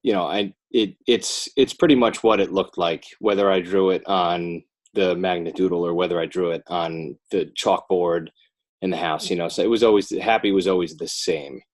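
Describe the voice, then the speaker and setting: Deep-voiced American man, rambling podcast guest. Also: steady tone. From Northern New Jersey, United States. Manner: rambling, self-correcting, halting. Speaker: podcast guest, children's book author. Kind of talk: casual podcast interview chat.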